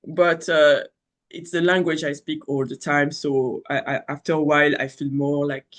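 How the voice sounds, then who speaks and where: A man's voice: a little high, little pitch movement, moderate pace, fairly bright tone. A man in his thirties from France with a French accent, talking casually about astrology in a rambling way with many fillers and restarts. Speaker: astrology channel host. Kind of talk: casual spoken astrology talk.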